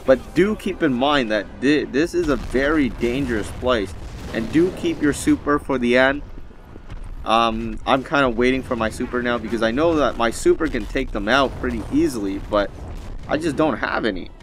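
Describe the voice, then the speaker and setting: A man's voice: mid-range voice, normal speed, fairly bright tone, a little hiss or hum. A man giving casual, rambling gameplay commentary as the host of a gaming video.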